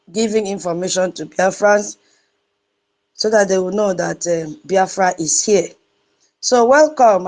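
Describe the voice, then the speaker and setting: A woman's voice: fairly low pitch, a little mellow, low-quality recording. A woman with a Nigerian accent hosts a live online audio room, reeling off greetings and welcomes to each listener in turn. She shifts into a lilting sing-song when she greets people in her local dialect. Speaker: host of an online audio space.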